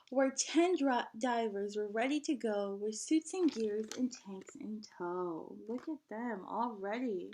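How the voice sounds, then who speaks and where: A woman with a high-pitched voice reads to children like a teacher. Her delivery is expressive and animated, made for a picture book, and she guides her young listeners through it with simple prompts. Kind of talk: expressive children's read-aloud with questions to listeners.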